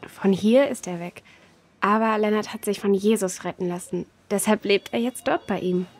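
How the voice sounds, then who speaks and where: Patient, gentle female mentor for a children's radio play, mid-range voice actress. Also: mid-range voice, moderate pace, lightly acted. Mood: gentle, serious. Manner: careful, explanatory. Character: gentle, patient woman comforting and explaining to children.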